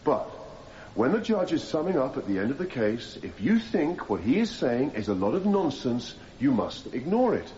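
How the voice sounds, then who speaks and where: Man playing a rhetorical barrister, animated delivery. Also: medium pitch, natural voice. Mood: persuasive. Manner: measured, rhetorical, persuasive. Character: impassioned male defence barrister addressing a jury. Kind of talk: radio drama courtroom speech. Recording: slightly dull, noisy radio broadcast copy.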